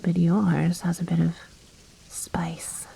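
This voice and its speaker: A woman playing a commanding, possessive vampire noblewoman in an intimate ASMR roleplay, speaking in breathy whispers with a haughty, coaxing, softly menacing manner. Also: fairly low pitch, monotone, takes it slowly, slight background noise, exaggerated voice, rough recording. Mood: cold, displeased.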